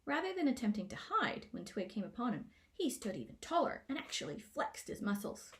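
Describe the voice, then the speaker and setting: A woman narrating a scripted children's fantasy story in a playful, expressive way, as an author reading aloud. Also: on the high side, fairly quick. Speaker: author reading own book.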